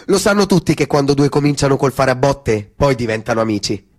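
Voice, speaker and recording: A man's voice: mid-range voice, animated, fairly quick. A man delivering scripted theatrical narration.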